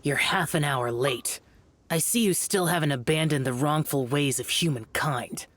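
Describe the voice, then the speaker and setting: A man's voice: fairly high pitch, moderate pace, a bit bright. A man playing an aloof, severe young immortal demon slayer in a video game, curt and cold. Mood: cold, stern. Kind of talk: video game character acting.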